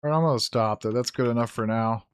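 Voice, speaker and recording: A hoarse man who is losing his voice, giving casual gameplay commentary, thinking aloud with fillers and self-corrections. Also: medium pitch, fast, dry recording. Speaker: gaming YouTuber. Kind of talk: casual Let's Play commentary.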